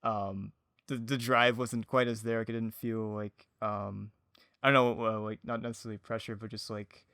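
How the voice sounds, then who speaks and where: Smooth-voiced man chatting as a podcast guest. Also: lowish voice, little pitch movement, normal speed, sharp tone, no room sound, clean studio sound. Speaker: podcast guest, audio drama creator. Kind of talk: casual chat.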